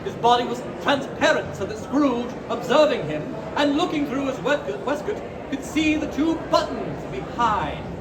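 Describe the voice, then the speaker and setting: High-voiced man, theatrical storyteller, echoey. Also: animated, moderate pace, hardly any pauses. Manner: theatrical, declamatory. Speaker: costumed reader playing a Victorian author. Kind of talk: dramatic storybook narration, performed live. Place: live performance at a fair. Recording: echoey, distant, noisy live fair recording.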